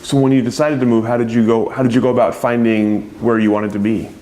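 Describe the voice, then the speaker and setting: A man conducting an oral history interview, asking questions in a relaxed, friendly way. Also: mid-range voice, fast talker.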